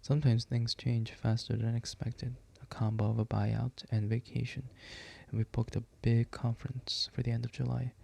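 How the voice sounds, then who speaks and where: A man voicing a brisk, confiding human resources manager on a phone call in a story reading. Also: medium pitch, little pitch movement, vocal fry, dark tone.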